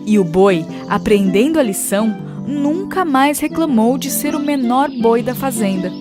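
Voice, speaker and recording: A Brazilian woman narrates a children's fable from a script. Her voice sits fairly high, and clear music plays behind her throughout. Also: lively intonation, moderate pace.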